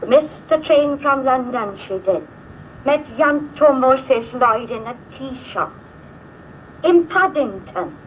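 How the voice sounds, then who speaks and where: Elderly Welsh village auntie, lilting wide-ranging voice, homely rambling manner, old film, hissy sound. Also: female voice, high-pitched, lively intonation, takes it slowly, phone-quality sound. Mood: gossipy, musing. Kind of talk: 1950s British film dialogue.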